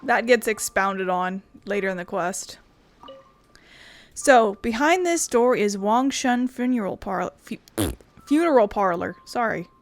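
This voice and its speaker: A woman's voice: mid-range voice, expressive, a little slow. A woman hosting a casual let's play, reading game text aloud and adding her own comments. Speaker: gaming video host. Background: game music.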